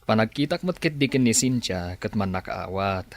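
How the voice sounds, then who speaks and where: A man's voice: fairly low pitch, expressive, moderate pace. A man acting a persuasive, scheming boss in an Ilocano radio drama, with an Ilocano Filipino accent. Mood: coaxing, probing.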